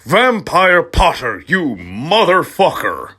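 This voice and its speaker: A man's voice: a little high, huge pitch swings, slow, breathy edge, voice pushed up, full character voice. Man putting on a melodramatic, hot-tempered goth girl. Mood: furious, overwrought. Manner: overwrought, swinging from confessing to shouting.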